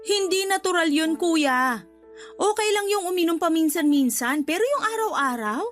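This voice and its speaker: A woman's voice: very high voice, animated, normal speed, soft background music. A woman acting in a Tagalog radio drama as a married homeowner who nags and lectures her older brother. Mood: scolding, indignant. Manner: nagging, preachy, emphatic.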